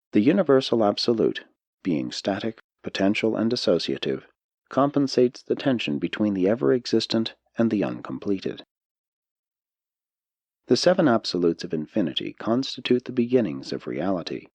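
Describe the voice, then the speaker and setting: A man reading a spiritual text as an audiobook narrator, in measured, deliberate phrasing. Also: on the low side, a little mellow, no echo.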